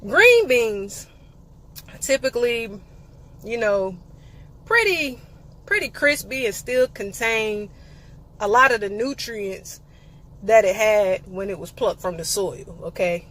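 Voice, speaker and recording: Animated young woman telling a story. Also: mid-range voice, slow, pauses often. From eastern North Carolina. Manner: animated, playful storyteller with sassy asides. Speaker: vlogger. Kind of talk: casual storytime vlog.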